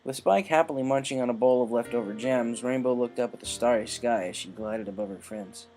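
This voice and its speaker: A man narrates a written story. His voice sits at a middle pitch with noticeable vocal fry, and soft music plays faintly behind him. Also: brisk. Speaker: narrator reading a fan-fiction story.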